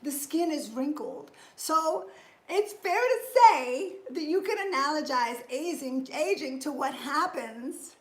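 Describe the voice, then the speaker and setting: A woman's voice: high voice, expressive, slow. A woman in her fifties hosting a casual live stream, greeting viewers by name and chatting about fitness. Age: 50s. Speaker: fitness host. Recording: echoey room.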